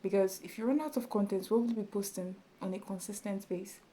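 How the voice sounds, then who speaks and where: A woman with a small, soft voice talking casually to her camera as a YouTube tutorial creator, chatty and encouraging with many fillers. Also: medium pitch, quick pace. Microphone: camera in front of her.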